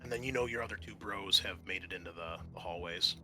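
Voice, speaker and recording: A man's voice: low voice, fast talker, pushed and bright, slight background noise. A man running a tabletop role-playing game as game master, talking casually and swearing freely.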